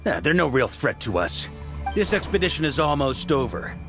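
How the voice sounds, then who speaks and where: An actor playing a roguish, confident middle-aged knight commander in a video game, casual and wry. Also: male voice, fairly high pitch, sing-song, normal speed, music in the background.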